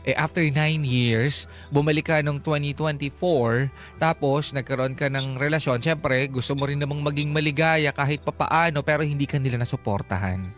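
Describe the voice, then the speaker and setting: A man's voice: on the high side, moderate pace. A Filipino man hosting a radio advice show, talking conversationally and asking probing, reflective questions. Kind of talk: conversational advice interview, code-switching. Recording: radio broadcast with music underneath. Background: background music.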